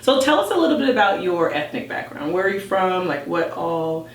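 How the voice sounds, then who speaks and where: An American woman hosting a podcast interview in a chatty, informal and excited way. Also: lowish voice, brisk. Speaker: podcast host. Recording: roomy, distant mic with background noise.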